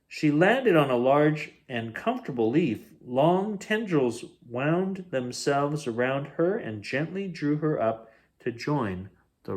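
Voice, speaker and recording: A man reading a children's picture book aloud as a bedtime story host, in an expressive, dramatic way. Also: medium pitch.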